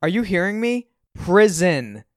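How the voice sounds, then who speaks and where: A man's voice: sits high, very animated, slow pace, no room sound, studio quality, voice pushed up, full character voice. A man voicing a dramatic, spoiled grown son in an audiobook, emphatic, indignant and pleading. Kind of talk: audiobook character dialogue.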